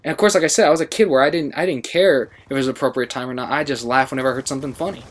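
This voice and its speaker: A man's voice: medium pitch, rapid-fire, a little hiss or hum. An American man casually telling a story over gameplay, rambling and often interrupting himself. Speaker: gaming channel storyteller.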